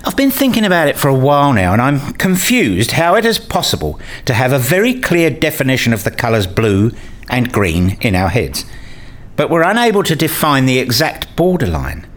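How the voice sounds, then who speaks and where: A man's voice: mid-range voice, very animated, moderate pace, a bit bright. A man acting a frustrated, restless academic who narrates his dreary days in a radio play, wry and grumbling. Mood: puzzled, curious. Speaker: narrator and lead character. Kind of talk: first-person radio play narration and dialogue.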